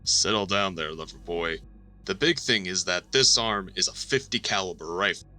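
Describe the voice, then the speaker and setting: A man playing a gruff, blunt chief engineer and former boxer in an audio drama. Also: sits low, lively intonation, normal speed, bright, forceful tone, soft background music, heavily acted. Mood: matter-of-fact.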